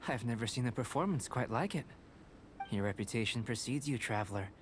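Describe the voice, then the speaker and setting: An actor voicing a calm, erudite young male alchemist who speaks in a measured, articulate, academic way. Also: mid-range voice, a little hiss or hum, natural voice. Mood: musing. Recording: studio game voice with clear music.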